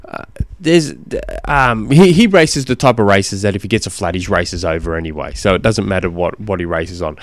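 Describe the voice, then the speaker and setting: A man hosting a casual solo podcast, slightly hoarse from a cold, rambling with many ums and restarts. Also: deep voice, lively intonation, fairly quick, dry recording. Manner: rambling, self-deprecating, lots of ums and restarts. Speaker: podcast host and cycling coach.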